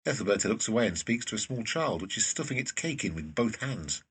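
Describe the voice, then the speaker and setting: A man with a raspy voice reading scripted narration for an audio drama. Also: fairly low pitch, sing-song, fairly quick, fairly bright tone.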